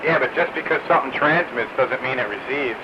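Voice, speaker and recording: Man buried in loud radio static. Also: medium pitch, fairly quick. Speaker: radio hobbyist in a group chat. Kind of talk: casual two-way radio chat. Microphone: two-way radio, recorded from a receiver stream. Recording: very noisy, muffled CB radio static.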